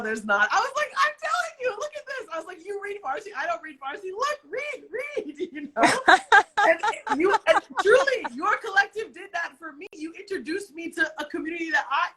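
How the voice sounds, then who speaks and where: An American woman hosting an interview, her voice bright and a little high. She talks in an animated, chatty way, laughing easily as she goes. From United States. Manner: animated, laughs while retelling stories. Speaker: interview host. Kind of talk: conversational interview.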